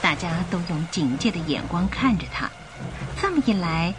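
Female radio-drama narrator, slightly low and highly animated, expressive storytelling over clear music. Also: normal speed.

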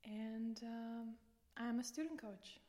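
A woman's voice: on the high side, slow pace, mellow. Woman in a reverberant interview. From Romania. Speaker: podcast guest, student coach. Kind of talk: conversational explanation in an interview. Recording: reverberant hall-like room sound.

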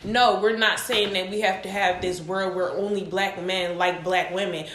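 A woman's voice: mid-range voice, steady tone, moderate pace, a bit bright, roomy sound. A woman leading a casual panel discussion among women, asking questions and pushing the talk along.

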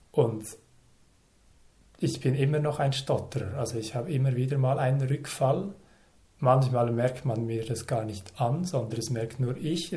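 Brief: man, 30s, interview guest, measured and reflective, phrase by phrase. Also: medium pitch, darkish tone. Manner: thoughtful, measured, speaks in short phrases with pauses.

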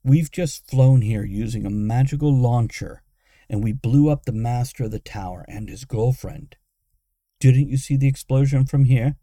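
A man's voice: mid-range voice, moderate pace, dark tone, no echo, clean studio sound, lightly acted. A man voicing a confident young woman adventurer who speaks in a firm, commanding way.

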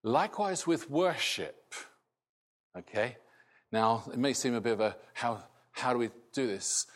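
A middle-aged man raised in Scotland, with a softened Scottish accent, preaching a sermon in a slow, halting way with many restarts. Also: mid-range voice, lively intonation. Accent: Scottish, largely softened. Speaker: pastor.

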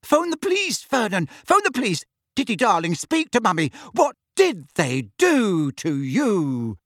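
A shrill, fretful mother who fusses and frets over her son, as a man puts her on. Her pitch shoots up high and swings about, read dry and close for an audiobook. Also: very animated, measured pace, a little mellow, dry recording, exaggerated voice. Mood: panicked. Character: shrill, fussing middle-aged mother.

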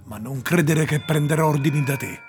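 A man's voice: on the high side, brisk, faint music behind, natural voice. A man playing a proud, prickly warlock in a radio drama. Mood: haughty. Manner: haughty, defiant.

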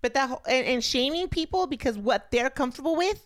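Lively, outspoken woman podcast co-host. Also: sits high, fast talker, dry recording, studio quality. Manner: outspoken, swears freely, mixes in Spanish. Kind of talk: casual chat.

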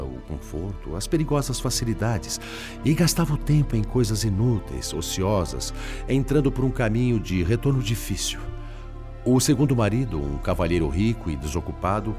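A low male voice with expressive swings plays an anguished spirit in a Brazilian radio drama. He speaks formally and eloquently with a Brazilian accent, weighed down by sorrow. Music plays clearly behind him. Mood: bitter, mournful. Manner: formal, eloquent, sorrowful, halting when troubled. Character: Pedro, anguished male spirit, once a principled lawyer.

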